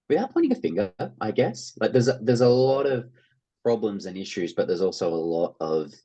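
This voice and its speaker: A man's voice: low-pitched, darkish tone, slightly rough. An Australian man speaking casually as an interview guest, rambling and hedging as he goes. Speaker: interview guest, playwright and actor.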